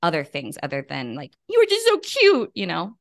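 A woman's voice: on the low side, wildly swooping pitch, normal speed. Bubbly young woman laughing through chat. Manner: bubbly, laughs a lot, swears casually. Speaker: podcast co-host. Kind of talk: casual chat.

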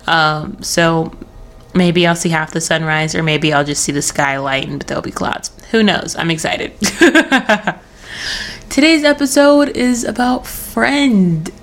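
Low-voiced young woman, rambling podcast chat. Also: unhurried, fairly bright tone. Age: young adult. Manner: rambling, self-interrupting, giggly. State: losing her voice. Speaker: podcast host. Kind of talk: casual solo podcast chat. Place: at home, early morning.

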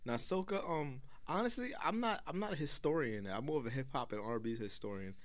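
Animated young man telling stories. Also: mid-range voice, a little fast. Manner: loose storytelling with big laughing fits. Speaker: podcast co-host. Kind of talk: casual chat.

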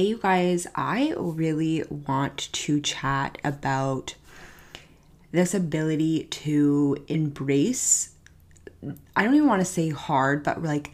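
A young woman hosts her own podcast, chatting casually and upbeat to her listeners. Her voice sits low and sounds nasal and congested from a cold. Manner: chatty, upbeat, says "you guys" often. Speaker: podcast host. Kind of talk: casual solo podcast talk.

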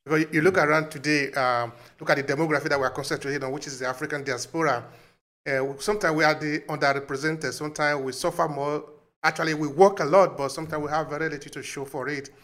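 A man's voice: a little high, steady tone, fast, a bit bright. A man hosting a casual interview, affirming and drawing out his guest. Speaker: interview host.

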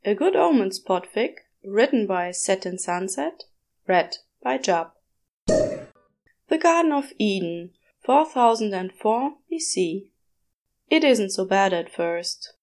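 A woman's voice: medium pitch, big pitch swings, takes it slowly, darkish tone. A woman reading a story aloud as scripted audiobook-style narration. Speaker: podfic narrator. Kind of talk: scripted narration of fan fiction.

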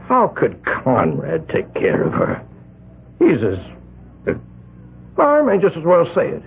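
Rich old island boss, gravelly husky voice, stammers and rambles, tinny old radio sound. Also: male voice, high voice, exaggerated voice. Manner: hesitant, rambling, stammers through excuses. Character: wealthy older island patriarch, evasive and fretful. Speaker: island community leader. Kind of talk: 1970s radio drama acting. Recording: tinny lo-fi 1970s radio broadcast.

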